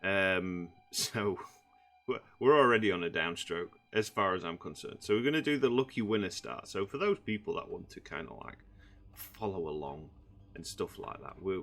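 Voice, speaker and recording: Croaky, just-woken man. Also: low-pitched, sharp tone. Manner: rambling, many fillers and restarts. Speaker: gaming channel host. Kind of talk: casual gameplay commentary.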